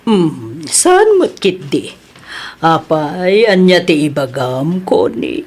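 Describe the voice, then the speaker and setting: A Filipino woman playing a patient but firm boss in an audio drama, measured, often humming thoughtfully before she answers. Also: low-pitched, very animated, darkish tone, full character voice. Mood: calm, probing. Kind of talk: acted audio comedy-drama dialogue.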